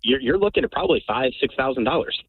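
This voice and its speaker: A man's voice: medium pitch, animated, fast. A man calling in to a radio show, earnestly explaining something at length over a phone line. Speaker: caller. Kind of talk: call-in radio chat.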